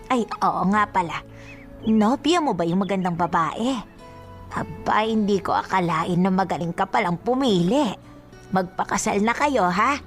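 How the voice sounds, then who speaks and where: A kindly elderly Filipina grandmother played by an actress in a radio drama, mid-pitched and chatty. She coaxes and teases fondly, with music underneath. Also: a little slow, lightly acted. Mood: teasing.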